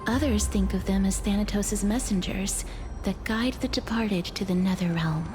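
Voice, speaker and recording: An actress plays a thoughtful woman on a long journey in a video game. She speaks in a soft, measured voice with formal, reflective phrasing and a touch of breath. Music plays clearly behind her. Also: medium pitch, lightly acted. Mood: grave, explanatory. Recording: loud music under the voice.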